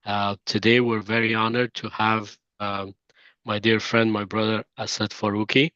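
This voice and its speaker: Flat-voiced man hosting a podcast. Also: on the low side, no echo, muffled recording. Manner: halting, many "um" and "uh" fillers. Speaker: podcast host. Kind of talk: podcast interview.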